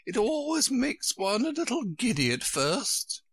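A man voicing a flighty, imperious older queen from a storybook in a shrill, squeaky, swooping voice. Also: wildly swooping pitch, slow, fairly bright tone, exaggerated voice. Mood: brisk, imperious. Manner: emphatic, swooping, exclaiming.

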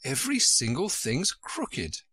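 A man's voice: mid-range voice, wildly swooping pitch, a little slow, breathy edge, no echo, full character voice. A man voicing a timid, civil and sensible young girl in a storybook. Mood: concerned. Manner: civil, gently practical.

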